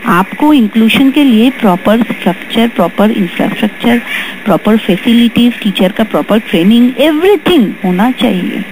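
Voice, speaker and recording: A woman's voice: on the high side, lively intonation, normal speed, low-quality recording. A woman with an Indian accent giving her opinion as an educator, emphatic and mixing in English phrases. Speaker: special education teacher trainer, guest. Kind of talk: interview opinion, spoken earnestly.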